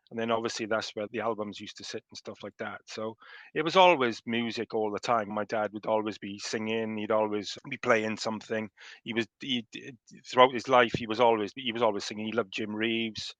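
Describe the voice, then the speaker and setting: A man's voice: lowish voice, a bit bright, no room sound. A man in his fifties with a Welsh accent, chatting as a guest on a call and telling stories with chuckles and restarts. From South Wales. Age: 50s. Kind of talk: casual remote interview.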